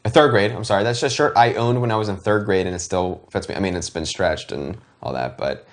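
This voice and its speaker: Lower-voiced man giving casual commentary. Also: brisk. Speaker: show host giving commentary. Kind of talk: casual group commentary on their own video.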